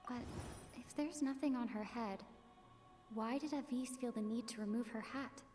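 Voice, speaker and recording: A woman plays a thoughtful young investigator in a video game. Her voice is fairly high and earnest, with music clearly audible behind it. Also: normal speed, natural voice. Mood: pensive.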